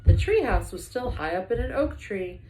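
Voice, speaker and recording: Woman, storybook read-aloud, lively varied intonation, dramatic emphasis, roomy echo. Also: mid-range voice, moderate pace. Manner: animated, dramatic emphasis for young listeners. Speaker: teacher reading a children's book aloud. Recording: echoey room.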